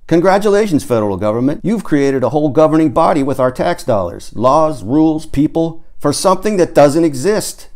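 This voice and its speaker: A man's voice: fairly high pitch, sing-song, a little fast, hardly any pauses, a little mellow, voice pushed up. Man acting a ranting sarcastic smoker. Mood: sarcastic outburst. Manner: grumbling, sarcastic, emphatic. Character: ranting, sarcastic, chain-smoking male rocket hobbyist. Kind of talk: audiobook character voice.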